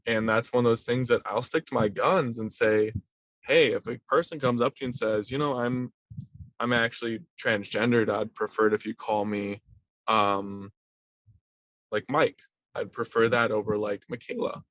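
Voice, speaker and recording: A young man, a middle school teacher, chatting as a podcast guest in an animated way, acting out little quoted exchanges. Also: lowish voice, normal speed, no room sound. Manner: animated, acts out voices in quoted asides. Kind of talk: casual conversational interview. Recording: dry, slightly dull remote audio.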